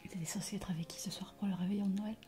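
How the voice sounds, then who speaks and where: A woman's voice: medium pitch, steady tone, rapid-fire, warm tone, natural voice. A woman playing a middle-aged doctor guarding an old secret from her ex, in hesitant whispers for an ASMR roleplay. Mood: hesitant, probing. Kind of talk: French ASMR roleplay acting. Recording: music behind the voice.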